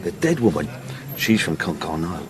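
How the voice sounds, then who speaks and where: An actor playing a gossipy, deferential cafe waiter in a radio drama, chatty and eager. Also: male voice, low voice, sing-song, moderate pace, background noise. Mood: eager, confiding.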